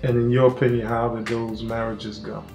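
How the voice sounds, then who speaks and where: A man's voice: mid-range voice, little pitch movement, vocal fry, a little mellow, faint music behind. Polite male interviewer in a roomy space. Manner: measured questioning, calls the guest sir. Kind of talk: documentary interview. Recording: roomy room sound.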